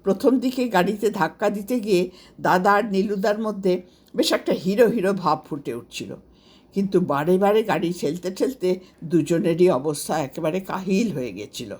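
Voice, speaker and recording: A woman reading a scripted first-person story aloud as its storyteller, with an expressive delivery. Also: mid-range voice, normal speed, husky. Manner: expressive, acts out quoted dialogue.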